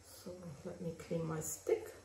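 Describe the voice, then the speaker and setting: A German woman with a German accent, narrating her resin crafting casually and often pausing to search for English words. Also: sits low, level tone, gravelly, warm tone, echoey room, recorded from a distance, slight background noise.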